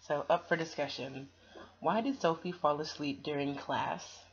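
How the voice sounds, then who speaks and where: A woman's voice: low-pitched, steady tone, a little slow, dull audio. A woman doing a children's storytime, reading and chatting to listeners in an encouraging, affectionate way.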